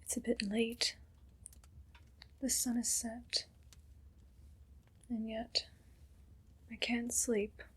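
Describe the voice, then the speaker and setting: A woman playing a haughty, condescending evil queen in an ASMR roleplay, speaking in a breathy, deliberate whisper. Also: fairly high pitch, level tone, a bit bright, full character voice. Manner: slow, deliberate, imperious.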